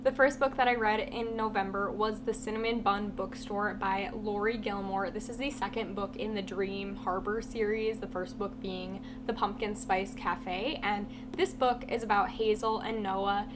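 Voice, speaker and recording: A woman's voice: medium pitch, moderate pace, few pauses. A woman with a congested, half-lost voice from a cold, giving a chatty, blunt book review vlog with lots of "like" fillers. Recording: steady humming background noise.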